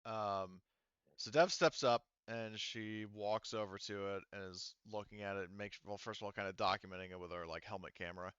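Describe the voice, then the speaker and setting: A man with a low, clean voice acts as game master, describing scenes off the cuff. He gropes for words as he goes. The sound is close and dry, though slightly dull. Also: fairly even intonation, a bit bright, clear, resonant tone, dry recording. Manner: halting, searching for words while describing scenes. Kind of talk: improvised narration of a tabletop roleplaying game.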